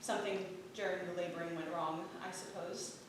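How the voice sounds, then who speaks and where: A woman playing a frail, quiet, polite Puritan wife who is secretly shrewd, in a staged reading of a period play. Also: fairly low pitch, steady tone, brisk, lightly acted. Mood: subdued, candid. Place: theatre stage, picked up from far off. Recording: very roomy, distant, noisy theatre recording.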